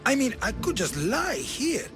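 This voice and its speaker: Game casting: high-voiced, slow, boozy Greek flirt, boisterous and slurring, music behind. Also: male voice, big pitch swings, fairly bright tone, exaggerated voice. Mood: drunk, weary. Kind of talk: video game character acting.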